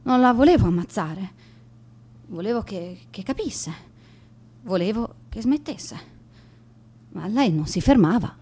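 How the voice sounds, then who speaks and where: An actress playing a guilt-ridden, bullied teenage schoolgirl in a radio drama monologue, confessing in a halting, emotional manner. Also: mid-range voice, expressive, dark tone, slight background noise, lightly acted. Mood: subdued remorse.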